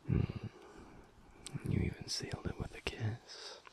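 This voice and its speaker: A man playing a teasing, tender young alpha werewolf boyfriend in an intimate ASMR audio roleplay, murmuring in a hushed, breathy way. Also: takes it slowly, natural voice. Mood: playful, affectionate.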